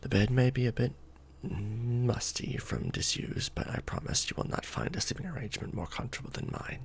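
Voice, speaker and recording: A man playing a courtly, protective, brooding vampire lord in a soft, formal ASMR romantic roleplay. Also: mid-range voice, creaky, breathy edge. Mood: pensive, suspicious. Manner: formal, archaic wording, slow and hushed.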